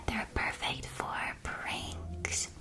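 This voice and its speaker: A woman playing a kindly, chatty candy shopkeeper in a witch world, in an ASMR roleplay with gentle, helpful sales patter. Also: very slow.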